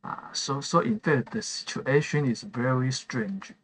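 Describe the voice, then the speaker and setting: A man from Taiwan whose first language is Chinese, chatting slowly through a live game stream with many fillers and restarts while he answers viewers' messages. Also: mid-range voice, a bit bright, rough recording. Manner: reads chat messages aloud and answers them. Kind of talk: live gameplay stream chat.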